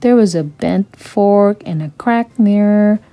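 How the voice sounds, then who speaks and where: A woman reads a children's story aloud as its narrator. Her tone is mellow and warm, at a middle pitch. The sound is dry, with very little room in it. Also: takes it slowly, dry recording.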